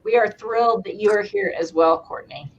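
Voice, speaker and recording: A woman co-hosting a college talk show, welcoming guests with quick, friendly affirmations. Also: mid-range voice, muffled recording, very noisy. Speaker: co-host. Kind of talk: campus talk show, casual interview.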